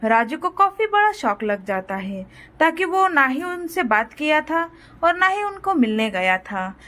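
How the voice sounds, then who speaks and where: A woman's voice: high voice, very animated, a little slow, a bit bright. A woman storyteller giving scripted narration for a moral cartoon story.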